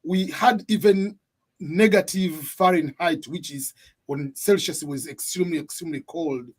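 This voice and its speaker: Husky Tanzanian man, relaxed chat. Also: a little high, normal speed. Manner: relaxed, laughs often while talking. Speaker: guest on a live stream. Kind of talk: casual chat. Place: home. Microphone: computer mic.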